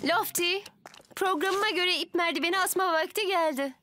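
A practical young woman builder from a children's cartoon. Her voice is high and her delivery businesslike and organised. Music is clearly heard behind her. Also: moderate pace.

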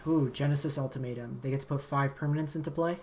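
A man, slightly raspy from a long day of talking, calmly commentating his card game as he plays, thinking aloud and muttering asides. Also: mid-range voice, quick pace, slight background noise. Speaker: game streamer. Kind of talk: casual gameplay commentary. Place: home streaming setup.

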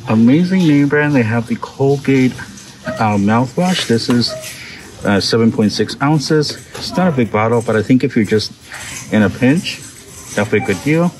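A man's voice: mid-range voice, expressive, normal speed. Upbeat man narrating a store walkthrough. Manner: chatty, upbeat, reads product labels aloud with fillers. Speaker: shopping vlog host. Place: inside a large discount store. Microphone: handheld phone camera. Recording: phone recording with store background noise. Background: store ambience.

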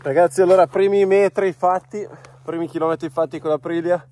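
A man's voice: high voice, steady tone, brisk, warm tone, slight background noise. A man with an Italian accent, a rally rider vlogging outdoors and talking straight to his viewers in an upbeat way. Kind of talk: casual vlog commentary. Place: outdoors on a gravel yard and trail.

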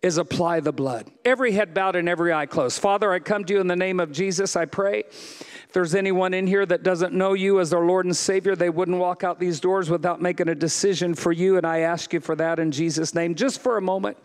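A middle-aged American man, a pastor preaching emphatically on a church stage into a handheld mic, then leading a soft altar-call prayer. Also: sits high, steady tone, a little fast, clear, resonant tone. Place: large church stage with band.

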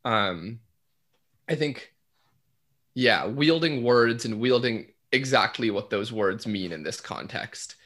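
A man's voice: mid-range voice, lively intonation, takes it slowly, a bit bright. A young man, an actor, talking casually and enthusiastically in a panel discussion with colloquial phrasing. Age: young adult.

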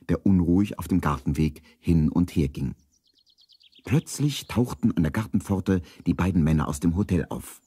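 Very deep, husky male storyteller. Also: mellow, no room sound, studio quality. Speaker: narrator. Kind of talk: scripted storytelling narration for children's radio play.

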